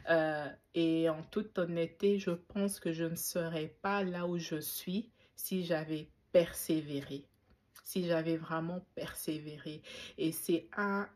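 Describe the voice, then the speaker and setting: A woman's voice: deep voice, steady tone, slow, darkish tone. A woman in her forties from Belgium, talking reflectively to camera, with frequent fillers and restarts. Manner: reflective, faith-inflected, many fillers and restarts. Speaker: YouTuber. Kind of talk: casual talk to camera.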